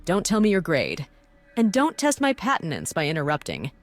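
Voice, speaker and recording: Bossy woman operative voiced by a woman for a game, low register, brisk and dryly condescending, faint music underneath. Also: wildly swooping pitch. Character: bossy, cynical woman running a secret task force. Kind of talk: visual novel voice acting.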